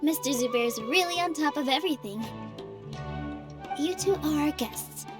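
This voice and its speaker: A woman playing a gentle, friendly young female dancer with a sweet, earnest manner in a video game. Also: high voice, animated, normal speed. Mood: warm, earnest. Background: background music.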